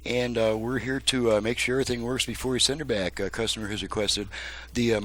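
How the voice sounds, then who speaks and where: A man's voice: fairly low pitch, a bit bright. Man giving a casual hands-on demonstration. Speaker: radio repairman presenting his work.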